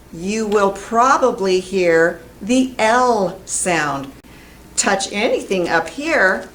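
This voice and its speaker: A middle-aged American woman giving a pronunciation lesson to camera in a deliberate, emphatic, carefully enunciated way. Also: mid-range voice, a little hiss or hum. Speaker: English pronunciation teacher. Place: indoor classroom in front of a whiteboard.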